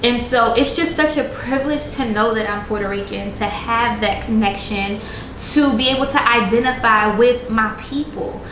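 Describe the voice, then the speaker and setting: A young woman chatting casually with her audience while cooking in a home kitchen, animated and slangy. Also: a little high, moderate pace, background noise. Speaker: vlogger. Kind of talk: casual chat. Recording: roomy kitchen with music.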